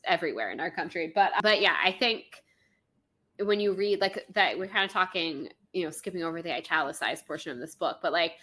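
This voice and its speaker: A young woman from Florida co-hosting a podcast, chatting casually and fast, with lots of likes and ums. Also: fairly low pitch, lively intonation, sharp tone. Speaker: podcast co-host. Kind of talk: casual chat.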